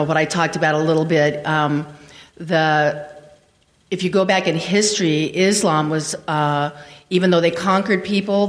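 A woman sharing travel impressions in a casual group discussion, rambling and hesitant with many fillers. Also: low voice. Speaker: trip panelist. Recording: reverberant hall.

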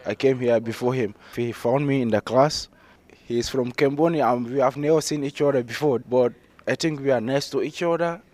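A young man in his late teens with a Gambian accent gives informal interview answers. His mid-pitched voice has a soft, mellow tone. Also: normal speed. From Gambia, West Africa. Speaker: high school student being interviewed.

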